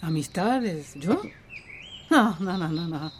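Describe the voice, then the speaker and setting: A woman's voice: lowish voice, lively intonation, slow, a little hiss or hum. An actress playing a guarded, bitter village woman in a radio drama, dramatic and defensive, with a Central American accent. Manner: dramatic, defensive, repeats denials. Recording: loud music and nature sounds behind.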